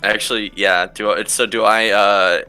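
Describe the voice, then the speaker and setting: A man's voice: fairly low pitch, steady tone, normal speed, bright, forceful tone, clear, resonant tone. A man chatting casually as he plays a tabletop roleplaying game. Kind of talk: casual chat. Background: music.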